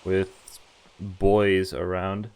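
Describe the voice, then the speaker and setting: A man's voice: sits low, flat delivery, gravelly, darkish tone, smooth. Chatty man reading a game aloud. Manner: chatty, halting, thinking aloud. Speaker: streamer. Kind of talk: reading a visual novel aloud with commentary.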